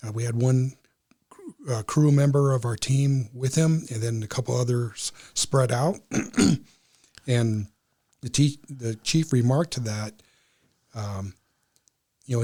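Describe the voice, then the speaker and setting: A man speaking as an interview guest, explaining things in a halting way with pauses between phrases. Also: mid-range voice, mellow. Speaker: amateur radio club member, guest.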